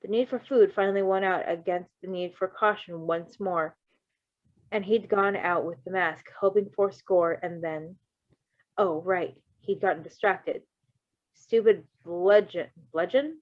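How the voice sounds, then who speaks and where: Woman reading fanfic out loud, really into it, chatty asides, super dry slightly muffled audio. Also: on the low side, unhurried, no echo. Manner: expressive, emotionally invested. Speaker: fan fiction reader. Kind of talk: story reading with casual asides.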